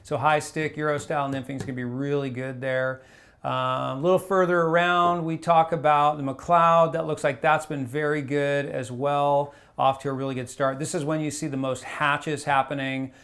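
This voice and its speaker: Gravelly-voiced man giving a fishing report. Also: on the high side, normal speed. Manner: relaxed, rambling, many fillers and restarts. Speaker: fly shop fishing reporter. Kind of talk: casual fishing report to camera. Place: small wood-paneled room indoors.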